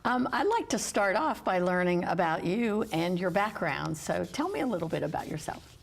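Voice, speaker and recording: A woman's voice: lowish voice, expressive, moderate pace, creaky, slight background noise. An American woman from West Virginia hosting a talk show in a conversational interviewing style. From West Virginia, United States.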